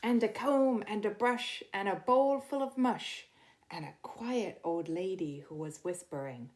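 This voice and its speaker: A woman's voice: medium pitch, a little slow, a little mellow. A middle-aged woman reading a picture book aloud to children, talking simply and directly to her young listeners. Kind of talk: read-aloud storytime for children.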